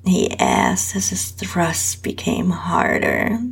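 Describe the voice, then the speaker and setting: Female narrator for adult audio fiction: very slow, drawn-out delivery, heavy vocal fry, breathy tone. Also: lowish voice, huge pitch swings. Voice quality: husky, breathy. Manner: slow, drawn-out reading with whispery dips. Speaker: host and story narrator. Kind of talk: scripted first-person erotic story narration.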